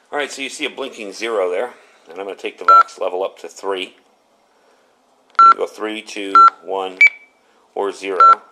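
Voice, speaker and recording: A man's voice: on the low side, very noisy. A man giving a casual, unscripted product demonstration in a plain-spoken, explanatory, conversational way. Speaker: product reviewer.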